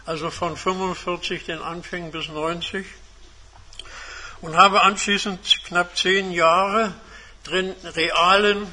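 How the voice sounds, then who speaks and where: Bright, high-pitched man of seventy-five. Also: level tone, slow, rough recording. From Germany. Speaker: guest speaker, retired engineer and academic. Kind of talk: autobiographical talk to a university seminar. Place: seminar room.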